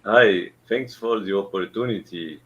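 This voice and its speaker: A man from Brazil with a Brazilian Portuguese accent, speaking as a caller in a casual chat in halting English and searching for words. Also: fairly low pitch, fairly bright tone, slight background noise. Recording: dull lo-fi line.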